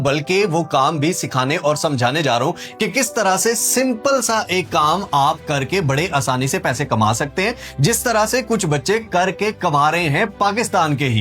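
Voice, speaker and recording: High-pitched Pakistani man giving a tutorial. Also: fairly bright tone. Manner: emphatic, repetitive, full of rhetorical questions and check-ins. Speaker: online skills tutor and video host. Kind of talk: informal tutorial narration, walking viewers through websites.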